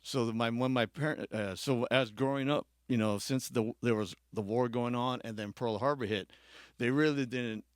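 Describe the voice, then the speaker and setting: A man's voice: mid-range voice, moderate pace, dry recording, clean studio sound. A man from Hawaii speaking as a podcast guest, telling his story in an informal, rambling, self-correcting way. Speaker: podcast guest, church worship director. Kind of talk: casual personal storytelling, chat.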